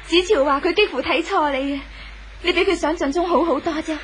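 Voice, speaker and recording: An actress playing a gentle, hopeful young woman in love in a 1970s radio drama, reassuring, with a Hong Kong Cantonese accent. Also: very high-pitched, a little fast, slight background noise. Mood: loving, admiring. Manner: soft-hearted, reassuring.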